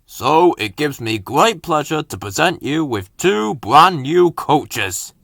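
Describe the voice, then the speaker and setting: A man's voice: a little high, very animated, slow pace, gravelly, a bit bright, no echo, exaggerated voice. Man doing a bossy stuffy railway boss from a kids train story, stern n formal, dry close sound. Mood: formal, pleased. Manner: formal, pompous, authoritative.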